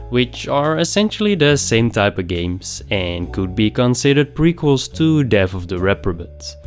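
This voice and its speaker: Young man, nasal cold voice, low-pitched, rambling casual review, light swearing, faint background music. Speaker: YouTube game reviewer.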